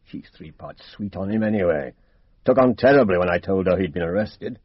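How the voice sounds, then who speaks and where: An actor playing a blunt, down-to-earth British police inspector in a radio mystery, speaking colloquially and with stubborn confidence. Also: male voice, sits low, animated, a little fast, husky, no room sound. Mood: briskly confident. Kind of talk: radio drama acting. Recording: dull, dry radio drama recording.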